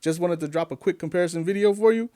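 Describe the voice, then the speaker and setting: Upbeat guy vlogging from his home gear setup, slightly high voice, close desk mic. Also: quick pace, mellow. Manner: upbeat, chatty, rhetorical questions. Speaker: YouTube tech reviewer. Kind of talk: casual vlog talk to camera. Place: home studio with gear behind. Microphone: desk-mounted condenser mic on an arm.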